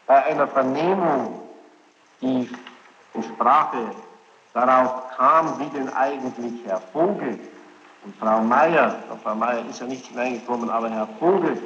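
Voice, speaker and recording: A man's voice: mid-range voice, sharp tone, highs cut off. Insistent male interrogator, noisy echoing tape. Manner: insistent, halting, long-winded. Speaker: interrogator questioning a witness. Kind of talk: formal courtroom-style questioning. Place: large reverberant room.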